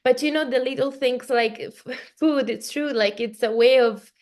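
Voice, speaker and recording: A woman's voice: high-pitched, moderate pace, darkish tone. A woman from Italy, a native Italian speaker with an Italian accent, hosting a casual, reflective podcast conversation. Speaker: podcast host.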